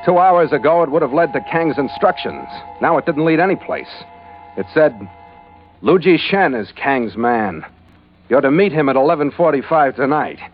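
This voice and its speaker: An actor playing a hardboiled, wisecracking American adventurer, narrating in terse, sardonic 1940s radio detective style. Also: male voice, on the high side, animated, normal speed, natural voice. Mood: tense. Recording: muffled old radio recording with music underneath.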